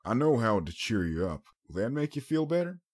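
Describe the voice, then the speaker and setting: Man voicing a husky, kindly guardian pony. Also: mid-range voice, very animated, a little fast, voice pushed up. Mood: playful, encouraging. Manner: warm, reassuring, teasing. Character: kindly, playful grown male guardian pony.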